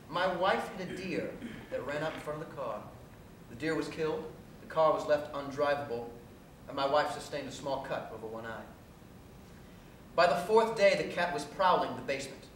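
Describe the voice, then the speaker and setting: A man gives a live dramatic reading of a first-person story on stage, in a measured, deliberate storytelling manner. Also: a bit bright. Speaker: reader performing a short story on stage. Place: theatre stage at a live cabaret, recorded from afar. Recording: echoey distant stage recording, faint hiss and rumble.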